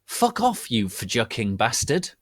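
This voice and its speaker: Man playing slow, theatrical, bratty goth teenage girl. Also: mid-range voice, huge pitch swings, no room sound, clean studio sound, heavily acted. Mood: angry. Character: melodramatic, bratty goth teenage girl narrating her story. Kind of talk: dramatic reading of amateur fan fiction.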